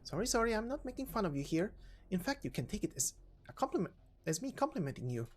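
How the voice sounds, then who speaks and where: A man's voice: high-pitched, big pitch swings, fast talker, slight background noise. A man voicing a chatty, breezy, teasing informant in a game story reading, speaking casually with rhetorical questions.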